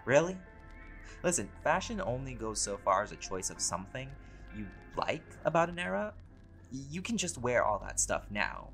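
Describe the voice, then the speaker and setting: A man's voice: medium pitch, big pitch swings, moderate pace, fairly bright tone, soft background music. A man playing a laid-back, vain, centuries-old vampire in a conversational roleplay audio drama, with casual, sweary, bantering delivery. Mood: teasing, exasperated.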